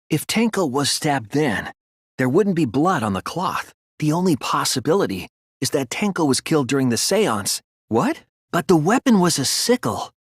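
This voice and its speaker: A man's voice: medium pitch, slightly rough, dry recording, clean studio sound. A man playing an earnest, careful teenage boy detective in an English video game dub, explaining his deductions with a mix of hesitance and insistence. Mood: insistent.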